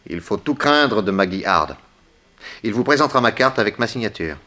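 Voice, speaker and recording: Low-voiced detective hero. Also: male voice, lively intonation, moderate pace, bright, forceful tone. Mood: brisk, protective. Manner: urgent, clipped questioning, reassuring orders. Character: brisk, commanding male private detective hero. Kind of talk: 1960s French radio crime serial acting.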